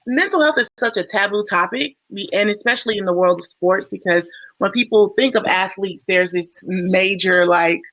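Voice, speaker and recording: A woman speaking as a panelist and former track athlete, earnest and quick with fillers. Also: mid-range voice, fairly bright tone, no room sound, rough recording. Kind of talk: panel talk.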